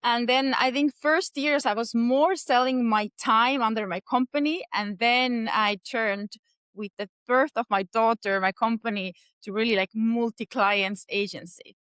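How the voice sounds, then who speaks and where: A woman's voice: fairly high pitch, measured pace, a bit bright, smooth, no room sound. A woman in her thirties with an Estonian accent, answering interview questions earnestly with many hesitations. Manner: frequent hesitations and restarts, earnest. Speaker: podcast guest.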